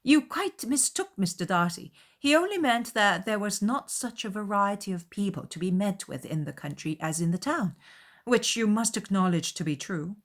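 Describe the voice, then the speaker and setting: A witty, self-possessed young lady, voiced by a female reader. She speaks frankly and articulately, with a playful, very varied pitch at a middle register. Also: expressive, moderate pace. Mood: embarrassed correction. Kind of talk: dramatized audiobook character voice.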